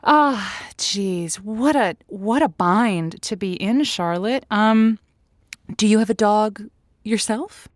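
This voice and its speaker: A woman's voice: mid-range voice, lively intonation, takes it slowly, dry recording, clean studio sound. A woman playing a thoughtful, sympathetic host of a conversational call-in advice show, with a hesitant, stammering delivery. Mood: amiable, thoughtful.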